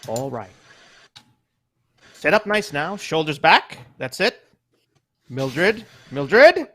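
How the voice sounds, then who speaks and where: A man's voice: fairly high pitch, very animated, extremely slow, sharp tone, full character voice. A man voicing a fussy, motherly mouse mother in a live radio play reading, bossy and coaxing. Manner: bossy and coaxing, old-fashioned.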